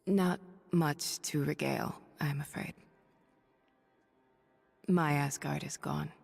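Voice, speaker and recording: A woman voicing a weary, noble superhero thunder goddess for a video game, confiding in measured, breathy speech. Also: deep voice, fairly even intonation, darkish tone, long reverb tail, soft background music, exaggerated voice. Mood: somber. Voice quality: breathy, husky. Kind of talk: video game voice acting, dramatic dialogue and audio-log monologue.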